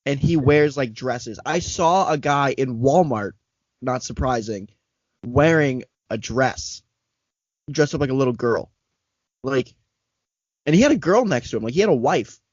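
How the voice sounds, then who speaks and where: A man's voice: medium pitch, expressive, no echo. Joking young man on a podcast. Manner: joking, laughing, quick riffs. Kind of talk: casual podcast chat. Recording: dull lo-fi dry recording.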